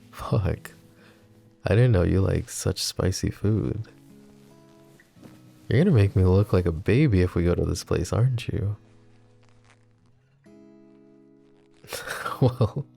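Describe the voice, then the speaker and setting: A man playing a flirty, possessive mafia boss who is smitten and vulnerable, speaking in soft, teasing, intimate ASMR roleplay. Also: deep voice, full character voice. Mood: playful teasing. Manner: soft teasing banter with breathy chuckles.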